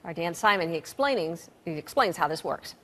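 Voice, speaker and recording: A woman's voice: fairly low pitch, expressive, brisk, background noise. Woman news anchor in a reverberant room. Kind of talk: broadcast news presenting.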